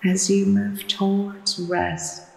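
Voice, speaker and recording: A woman narrating a guided sleep meditation in a soothing, hushed and deliberate manner. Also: medium pitch, level tone, gravelly. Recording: echoey long reverb with music behind. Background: relaxation music with ocean waves.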